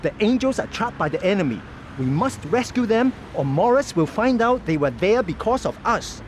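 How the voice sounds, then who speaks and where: An actor playing a tense, sharp gang henchman in a dramatic game cutscene. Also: high-pitched, big pitch swings, normal speed, a little hiss or hum. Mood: urgent.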